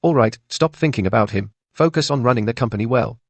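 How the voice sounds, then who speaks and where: A man's voice: medium pitch, fast talker, vocal fry, no room sound. A man playing a firm, shrewd older businessman father giving counsel in an audio drama. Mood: firm, advising. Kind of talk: dubbed melodrama audio acting.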